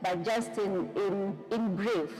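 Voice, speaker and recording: A woman's voice: mid-range voice, fairly even intonation, takes it slowly, a little mellow, in a large hall, faint music behind. A woman, a religious sister, hosting a live interview, welcoming her guest and asking questions with some hesitant fillers.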